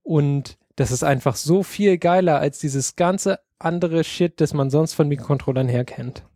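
A man's voice: a little high, moderate pace, dark tone, dry recording. Slangy man on a dry podcast mic. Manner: loose and slangy, swears casually. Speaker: podcast co-host. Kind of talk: casual tech chat.

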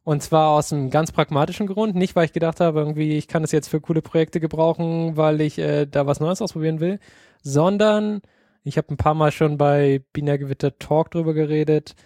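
A man's voice: fairly high pitch, fairly even intonation, a little fast, dark tone, dry recording. Slangy man on a dry podcast mic. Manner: loose and slangy, swears casually. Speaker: podcast co-host. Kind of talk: casual tech chat.

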